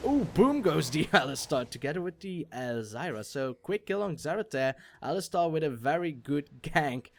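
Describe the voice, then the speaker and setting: A young man from Belgium, an English speaker, doing jokey solo commentary on a game replay and getting loud on exciting plays. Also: fairly high pitch, normal speed, creaky. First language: not English. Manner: jokey, self-mocking, rises sharply on exciting plays. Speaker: esports shoutcaster.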